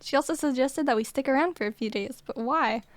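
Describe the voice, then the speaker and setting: A woman's voice: high-pitched, fairly quick. A woman giving casual reaction commentary over a game, dramatic, gushing and easily flustered, with game music behind. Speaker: gaming streamer.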